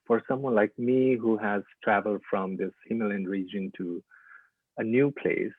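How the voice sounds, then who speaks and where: A man from Nepal presenting his artwork in a halting talk, with frequent "uh" and "like" fillers. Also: lowish voice, steady tone, no echo. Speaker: artist presenting his work. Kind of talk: informal lecture with slides. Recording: tinny, dry phone-like audio.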